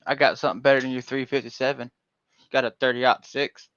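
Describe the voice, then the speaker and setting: A man from Georgia hosts a livestream panel in a rambling, folksy way, leaning on "uh" and "um" between thoughts. His voice sits at an ordinary middle pitch and comes through dull and lo-fi. The audio is bone dry, with no room sound around it. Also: level tone, brisk, fairly bright tone, no room sound. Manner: rambling, folksy, with frequent fillers. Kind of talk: casual livestream chat.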